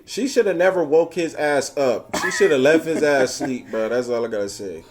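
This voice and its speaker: A man's voice: mid-range voice, moderate pace, soft background music. A man co-hosting a casual reaction chat, blunt and slangy, with heavy swearing. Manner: blunt, slangy, heavily profane. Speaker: reaction show co-host.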